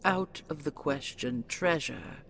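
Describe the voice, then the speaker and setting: A woman playing a possessive, seductive dragon queen in an intimate audio roleplay, slow, doting and imperious, with a breathy, gravelly whisper. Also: low-pitched, expressive, fairly bright tone, slight background noise, exaggerated voice. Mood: protective, indulgent.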